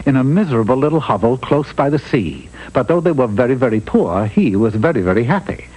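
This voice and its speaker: A man's voice: mid-range voice, very animated, brisk, darkish tone, breathy edge. A man narrating a comic fairy tale for a cartoon, as a theatrical, emphatic storyteller. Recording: old lo-fi TV cartoon soundtrack.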